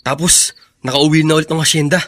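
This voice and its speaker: A man's voice: a little high, fairly even intonation, fast talker, fairly bright tone, close to an everyday voice. Actor as a scheming, callous male accomplice. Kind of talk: Filipino radio drama acting.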